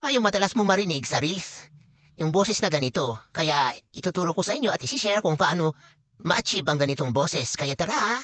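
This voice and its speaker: A woman's voice: lowish voice, expressive, moderate pace, fairly bright tone, no room sound. A woman with a Filipino accent in a short vlog voiceover, her voice altered by a voice changer effect. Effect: voice changer effect filter.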